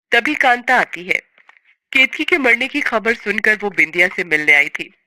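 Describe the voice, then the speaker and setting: A woman's voice: lowish voice, sharp tone, a little hiss or hum. A woman narrating a scripted story in a dramatic storytelling style.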